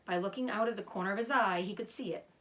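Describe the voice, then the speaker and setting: A woman reading a children's story aloud as host, with expressive storybook narration. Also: mid-range voice, fast.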